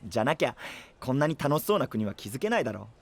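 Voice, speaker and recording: A man's voice: mid-range voice, sing-song, quick pace, vocal fry. A man voicing a cheerful, adventurous young male knight with an enthusiastic, easygoing boyish manner in an anime-style voice drama. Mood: admiring.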